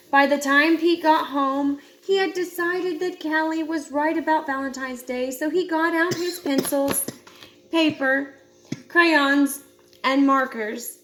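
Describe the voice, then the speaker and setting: A woman reading a children's picture book aloud in an expressive storytelling style. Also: high-pitched. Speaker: storybook reader.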